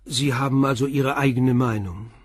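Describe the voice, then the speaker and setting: Actor as a probing, composed police commissioner. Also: male voice, mid-range voice, fairly even intonation, husky, no echo, lightly acted. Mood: persistent, pressing. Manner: probing, measured questioning. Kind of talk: German crime radio play dialogue.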